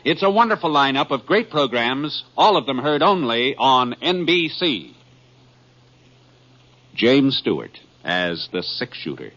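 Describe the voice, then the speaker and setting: A man's voice: mid-range voice, very animated, a little slow, sharp tone. A man with an American accent announcing in a formal, dramatic 1950s network radio style. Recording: dull vintage 1950s radio recording, music behind. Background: music.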